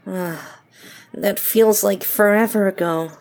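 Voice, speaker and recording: A woman's voice: mid-range voice, fairly even intonation, slow pace, darkish tone. A woman playing a weary, guarded, sceptical young woman in an audio drama, with a dry, curt, questioning manner. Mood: weary, reserved.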